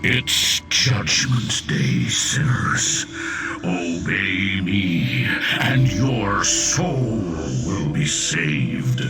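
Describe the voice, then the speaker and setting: An actor voicing a menacing, commanding disembodied preacher-like voice in a video game, sermonizing ominously. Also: male voice, low voice, expressive, drawn-out words, music in the background, heavily acted. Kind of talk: video game character dialogue.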